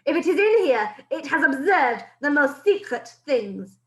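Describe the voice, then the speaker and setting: A shrill, screeching witch queen barks orders in a high, swooping voice, put on by a woman reading a storybook. She sounds cruel and commanding, and her voice rings in an echoey room. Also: sing-song, a little slow. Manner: shrieking, commanding. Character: screeching tyrannical female witch leader.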